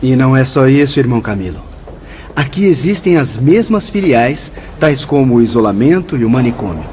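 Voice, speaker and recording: A man's voice: medium pitch, big pitch swings, fairly quick, darkish tone, slight background noise, close to an everyday voice. A man with a Brazilian accent playing a courteous, instructive spirit guide in a formal radio drama. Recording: dull audio with faint music.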